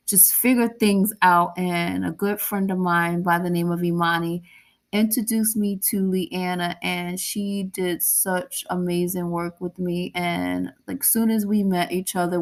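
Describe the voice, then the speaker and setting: A woman hosts a relaxed interview over a video call, with a noticeable fry at the bottom of her voice. She talks in a gushing, enthusiastic way about her guest. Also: fairly low pitch. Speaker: podcast host. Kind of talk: casual interview chat.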